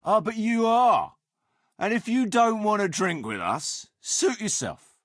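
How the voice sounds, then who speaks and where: A rough medieval fighter from a video game, leader of a hard-drinking band. His voice sits fairly high for a man and swings widely in pitch. He talks bluntly and coarsely, and the sound is dry and close. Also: very animated, a little slow, fairly bright tone, no room sound, full character voice. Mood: indignant, defensive. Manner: blunt, earthy, swearing. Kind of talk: video game dialogue acting.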